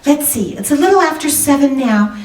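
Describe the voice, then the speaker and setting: Roomy, off-mic recording: actress as worried genteel young wife, high voice, polite and hesitant, old radio drama. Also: female voice, lively intonation, a little fast, darkish tone. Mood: anxious, polite. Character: anxious, genteel young married woman seeking a detective's help. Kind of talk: 1940s radio drama acting. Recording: roomy, distant microphone, music behind.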